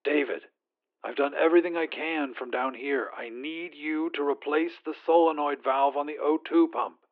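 A man's voice: on the high side, fairly even intonation, normal speed, phone-quality sound. Man voicing a weary mission controller over thin radio. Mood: weary, firm. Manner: patient, reassuring, instructing. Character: tired, steady mission-control man guiding a stranded astronaut. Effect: radio filter. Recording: thin, band-limited radio transmission.

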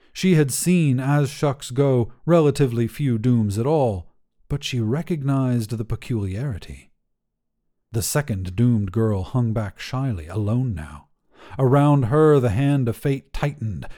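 A man narrating literary fiction in a measured, theatrical storytelling manner. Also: mid-range voice, dark tone.

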